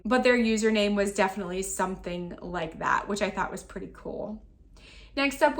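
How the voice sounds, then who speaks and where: A woman in her thirties with a slightly high voice that has turned raspy while she recovers from an illness. She walks through her sales one item at a time, matter-of-fact and orderly. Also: fairly bright tone. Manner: itemized, matter-of-fact listing. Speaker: online reseller. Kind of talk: sales recap vlog.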